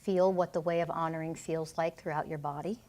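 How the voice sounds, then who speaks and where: Forceful woman leading a healing session. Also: sits low, steady tone, brisk. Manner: commanding and intense, with blunt swearing. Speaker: energy healer.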